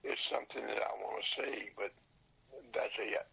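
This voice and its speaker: An older man, sixty or above, chatting casually as an interview guest in a husky, gravelly voice, plain-spoken and folksy. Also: fairly low pitch, completely flat delivery, normal speed, sharp tone. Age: 60s or older. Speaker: interview guest, old radio show dealer. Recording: dull lo-fi audio.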